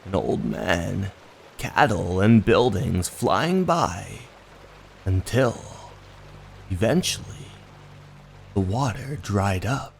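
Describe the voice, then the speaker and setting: Slow, dramatic male horror narrator. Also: lowish voice, lively intonation, creaky, a little hiss or hum. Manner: measured, dramatic. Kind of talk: scripted horror story narration. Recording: music under the voice.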